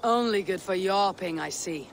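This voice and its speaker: A woman's voice: medium pitch, moderate pace, a little hiss or hum, lightly acted. Stern, terse young warrior woman played by a woman. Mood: defiant. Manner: terse, firm. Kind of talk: video game cutscene acting.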